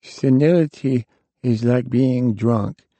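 A man's voice: mid-range voice, takes it slowly, warm tone, no echo, voice pushed up. A man voicing an ancient, frail male warlock who is dying, with a thin, strained old voice, in an audiobook reading. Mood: lecturing.

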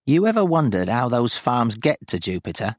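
A man's voice: mid-range voice, normal speed, dry recording, clean studio sound, voice pushed up, close to an everyday voice. A man voicing a shrewd, cagey old woman farmer in her seventies with rustic, colloquial phrasing. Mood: cagey, probing.

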